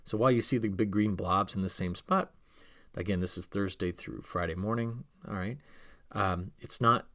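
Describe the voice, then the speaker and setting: A man with a deep voice presents a forecast as a weather host. He explains maps in a conversational, instructional way, punctuating his points with quick check-ins and rhetorical questions. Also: fairly bright tone.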